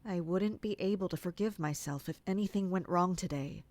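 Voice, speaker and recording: A woman's voice: fairly low pitch, moderate pace, a little mellow, close to an everyday voice. A woman playing a vigilant, anxious castle guardian in an audio drama, both narrating and speaking in scenes. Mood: weary, focused. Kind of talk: first-person audio drama.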